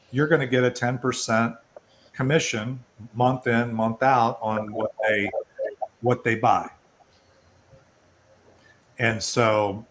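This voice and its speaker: A man's voice: mid-range voice, a bit bright. An older man hosting an online networking event, talking informally and rambling a little as he presents and takes questions. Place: online video call.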